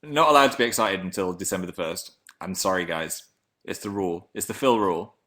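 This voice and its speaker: A man in his twenties from England with a deep voice, chatting playfully on a webcam livestream while reading comments. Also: fairly quick, pushed and bright. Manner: playful, jumps between high and low pitch. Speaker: livestream host. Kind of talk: casual live chat reading comments.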